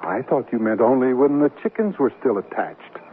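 A man's voice: mid-range voice, very animated, normal speed, vocal fry, husky, exaggerated voice. A man playing a grumbling but affectionate middle-aged newlywed husband in a 1970s radio comedy drama. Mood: bantering. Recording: noisy, narrow-band 1970s radio recording.

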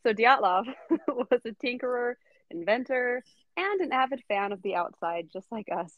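A woman's voice: on the high side, lively intonation, moderate pace, no room sound, narrow, tinny audio. A woman hosting a podcast, telling a story in casual chat, giggling often and full of fillers. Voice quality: giggly, chatty, filler-heavy. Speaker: podcast host.

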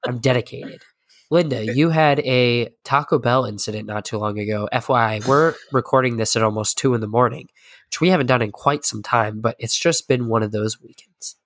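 A man hosting a casual podcast, hoarse and stuffy from a sinus infection, chatting in a rambling, self-deprecating way. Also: mid-range voice, fairly quick, a bit bright, dry recording. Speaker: podcast host.

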